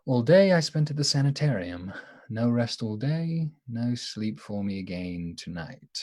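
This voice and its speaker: Earnest young-love doctor, husky, animated. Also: male voice, mid-range voice. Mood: weary narration. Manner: halting, earnest, emotionally reactive. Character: earnest, anxious, devoted male doctor in love. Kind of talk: radio play acting with diary narration.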